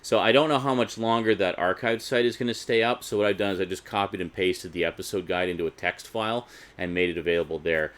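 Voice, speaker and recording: A man with a fairly low voice talks like a video host showing off a collection. His delivery is unscripted and informal, full of chatty asides to the viewer. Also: quick pace, few pauses, a bit bright. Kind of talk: casual unscripted collector vlog.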